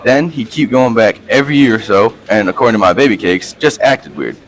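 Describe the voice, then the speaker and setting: A man's voice: on the low side, expressive, fairly quick, poor audio. A man acting a reverend with a hoodlum past in an amateur radio play, talking in street slang and folksy storytelling. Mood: confiding.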